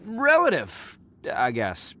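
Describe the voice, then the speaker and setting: A man's voice: on the high side, wildly swooping pitch, takes it slowly, background noise, heavily acted. A man voicing a cocky, big-hearted oni in a video game, bragging with false modesty and plenty of expression. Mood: confident. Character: boisterous, big-hearted horned male oni, cocky and flattered.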